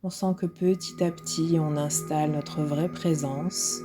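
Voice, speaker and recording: A woman instructor leading a guided relaxation meditation in a soft, soothing, unhurried way with pauses, with music behind her. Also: deep voice, steady tone. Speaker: yoga nidra instructor.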